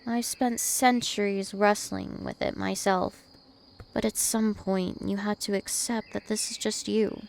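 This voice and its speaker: Casting: woman as an immortal vampire princess for ASMR roleplay, teasing and possessive, creaky voice over noticeable insect-like night ambience. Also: mid-range voice, slight background noise. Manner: slow, deliberate, teasing and possessive.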